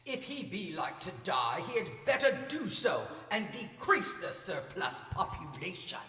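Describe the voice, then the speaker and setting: A stern, prophetic spirit, played by a woman, bright and forceful, full of scorn. The lively theatrical delivery rings through an echoey hall, a little distant from the mic. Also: fairly low pitch, unhurried, soft background music, heavily acted. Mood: scornful. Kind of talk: stage radio drama acting.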